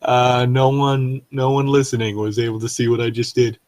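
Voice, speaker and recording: A man's voice: mid-range voice, completely flat delivery, normal speed, darkish tone. A man co-hosting a comic read-aloud of bad fan fiction, amused and mocking, with casual sweary commentary. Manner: amused, mocking, breaking into chuckles.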